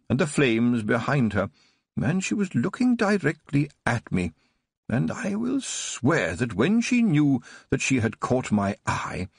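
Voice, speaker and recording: A man's voice: medium pitch, gravelly, breathy edge, no room sound, studio quality, exaggerated voice. A man doing a frail old baron, tearful and wistful. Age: older. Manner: trembling, wistful, rambling recollection. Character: frail elderly male aristocrat, tearful and nostalgic.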